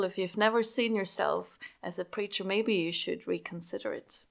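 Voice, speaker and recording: A young woman from Switzerland with a Swiss accent, a senior pastor earnestly telling her story as an interview guest. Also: medium pitch. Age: young adult.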